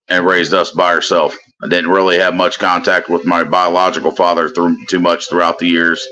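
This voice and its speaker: Man in his thirties, Kentucky accent, very low and forceful voice; rambling casual guest who keeps saying "you know what I mean.". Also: poor audio. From Indiana, US. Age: 30s. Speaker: guest on a live stream. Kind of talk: casual chat.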